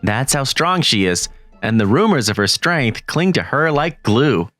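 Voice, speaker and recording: A man's voice: mid-range voice, sing-song, measured pace, fairly bright tone, no room sound, faint music behind. A man narrating a manga story in first person, getting flustered and jumpy. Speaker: narrator and lead voice in a manga dub.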